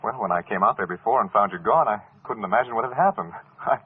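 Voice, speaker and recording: A man's voice: fairly low pitch, quick pace, slightly rough. An actor playing an affable, polite young man eager to please his guest, in 1940s radio drama style. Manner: chatty, polite, deferential. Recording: noisy narrow-band vintage radio recording.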